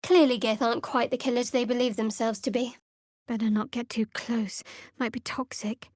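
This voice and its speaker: A woman voicing a guarded, sardonic young cleric of a dark goddess in a video game, with a dry, measured, clipped delivery. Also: on the high side, fairly even intonation, no echo, close to an everyday voice. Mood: hushed. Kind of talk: video game voice acting, companion dialogue and battle barks.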